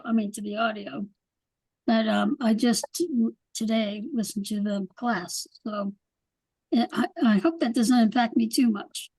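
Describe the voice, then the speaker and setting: A woman with a gravelly voice chatting casually and a little hesitantly as a class participant. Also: fairly high pitch, mellow, no room sound, rough recording. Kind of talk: casual chat.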